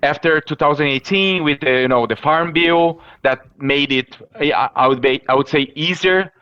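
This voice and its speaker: Bright-voiced Brazilian man, halting speech. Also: on the high side, clean tone, lo-fi sound. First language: Portuguese. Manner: halting, many fillers and restarts. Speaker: professor guest. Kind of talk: interview answers.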